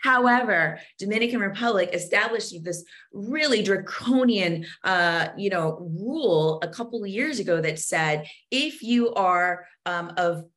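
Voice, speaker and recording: Low-voiced, impassioned woman guest. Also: fairly bright tone. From Zambia. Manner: impassioned and verbose, many restarts. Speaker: podcast guest. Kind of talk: conversational interview.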